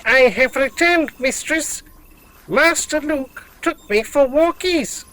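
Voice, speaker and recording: A woman's voice: high-pitched, expressive, slow, a bit bright, full character voice, rough recording. A woman voicing a loyal, literal-minded robot dog in an audio drama, formal and precise. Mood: dutiful.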